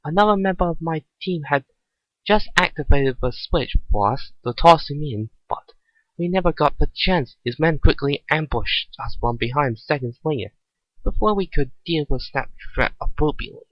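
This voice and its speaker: A man's voice: a little high, expressive, normal speed, no room sound, lo-fi sound. A man voicing an anxious female cat fighter with memory loss, hesitant and straining to recall, in a story reading. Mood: startled realization.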